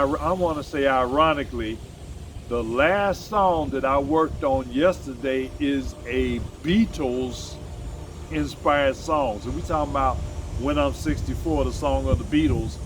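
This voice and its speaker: A man in his sixties talks straight to his audience in a loose, rambling vlog, circling back and correcting himself as he goes. He turns blunt and emphatic at times. His voice sits at an ordinary middle pitch for a man, with a clear air-conditioner hum running underneath. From United States. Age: 60s. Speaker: vlogger and musician. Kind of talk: casual solo vlog monologue.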